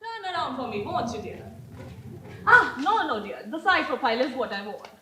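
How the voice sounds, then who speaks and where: An older Victorian aristocratic aunt, condescending and grandly theatrical. Her high voice swoops widely as she declaims with pompous authority. It is stage comedy, recorded in a roomy, echoing space. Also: big pitch swings, normal speed, bright, forceful tone, heavily acted. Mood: imperious, approving. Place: indoor stage in a roomy hall. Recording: echoey amateur stage play recording.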